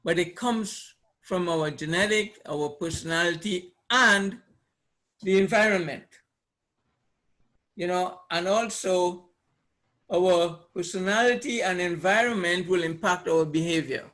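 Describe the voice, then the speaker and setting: A man's voice: high-pitched, unhurried, fairly bright tone, low-quality recording. A man from Trinidad with a Trinidadian accent, presenting an informal seminar in a conversational way, repeating phrases and putting questions to his listeners. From Trinidad, Caribbean. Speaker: presenter, trainer. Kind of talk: informal seminar teaching to a live audience.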